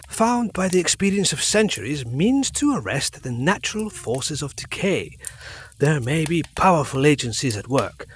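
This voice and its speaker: An actor playing a learned, excitable doctor with a pedantic, emphatic, theatrical way of speaking in a period gothic audio drama. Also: male voice, on the high side, husky, full character voice. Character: learned, excitable male doctor pondering mysteries.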